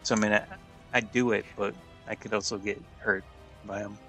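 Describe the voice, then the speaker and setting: A man with a fairly low voice talks casually as a player in a tabletop game. Faint music sits in the background. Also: little pitch movement, moderate pace, fairly bright tone.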